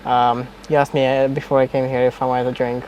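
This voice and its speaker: A young male host with a mid-range voice chats easily with his guest, pausing on fillers as he goes. A faint hum sits under the voice. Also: mid-range voice, highs cut off. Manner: relaxed, hesitant with fillers. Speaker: podcast host. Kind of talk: casual interview chat.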